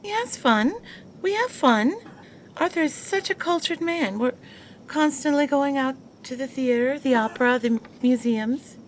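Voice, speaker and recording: A woman's voice: high voice, lively intonation, moderate pace, a little hiss or hum. An actress playing a proper, married 1920s woman who defends herself and scolds her former lover. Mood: defensive. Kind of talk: 1920s period audio drama.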